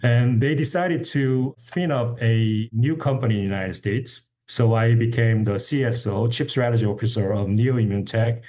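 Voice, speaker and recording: A man's voice: mid-range voice, normal speed, hardly any pauses, dark tone. A man from South Korea with a Korean accent, introducing his professional background as an interview guest. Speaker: podcast guest, company chief executive.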